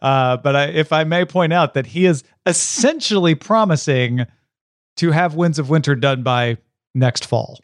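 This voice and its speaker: A man with a fairly high-pitched voice chats casually as a podcast co-host. His manner is playful and bantering, and the sound is dry, with no room around it. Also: measured pace, dry recording. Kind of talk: casual chat.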